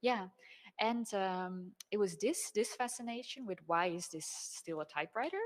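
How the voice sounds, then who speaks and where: A young woman whose first language is Dutch, speaking thoughtfully in an interview about her design work and pausing to find her words. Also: medium pitch. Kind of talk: relaxed conversational interview.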